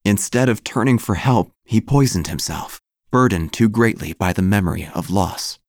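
An actor playing a melancholy, haunted obituary writer, narrating gothic horror in a solemn, literary manner. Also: male voice, on the low side, moderate pace, darkish tone, no echo, clean studio sound. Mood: grave. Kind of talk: scripted horror audio drama narration.

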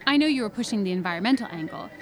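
An actress playing a sharp small-town newspaper reporter in an audio drama, questioning directly and skeptically. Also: female voice, mid-range voice, animated, racing, lightly acted. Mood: probing.

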